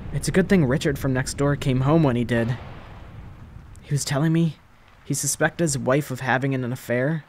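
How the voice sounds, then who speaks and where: A man's voice: medium pitch, natural voice. Man playing a flippant slacker boyfriend, noisy ambience. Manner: casual, foul-mouthed, deadpan rambling. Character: young slacker boyfriend sheltering his crush from zombies, flippant. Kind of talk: ASMR audio roleplay.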